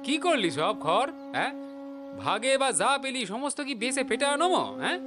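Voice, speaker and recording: An actor with a Bengali accent playing a haughty, blustering Brahmin priest who scolds and mocks in an audio drama. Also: male voice, very high voice, big pitch swings, over music, full character voice. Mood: mocking. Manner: blustering, sarcastic, drawn-out calls and exclamations. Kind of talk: audio story drama acting.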